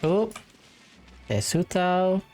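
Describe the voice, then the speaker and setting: A woman's voice: lowish voice, expressive, extremely slow. A woman giving casual gameplay commentary as the host of a gaming video. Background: faint game music.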